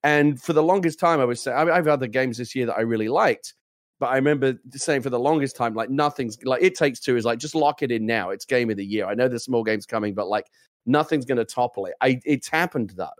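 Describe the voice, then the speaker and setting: A man co-hosting a casual gaming show, loud and enthusiastic, rambling with restarts. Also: medium pitch, fast talker, dry recording, clean studio sound. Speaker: co-host. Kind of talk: casual gaming news chat.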